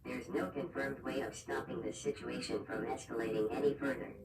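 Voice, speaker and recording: A roomy, distant recording carries a solemn male emergency announcer, played by a man. He reads a scripted alert formally and impersonally over clear background noise. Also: mid-range voice, fairly even intonation, fairly quick, natural voice. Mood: grave, formal. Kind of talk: scripted emergency broadcast narration. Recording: echoey, distant, noisy alert broadcast audio.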